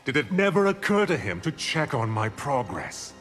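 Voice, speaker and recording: Cold, scheming sorcerer villain for a fighting game; menacing, animated, music underneath. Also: male voice, medium pitch, normal speed, in a large hall. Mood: haughty. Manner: haughty, theatrical, menacing. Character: arrogant, scheming evil male sorcerer, cold and contemptuous. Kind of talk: video game villain dialogue.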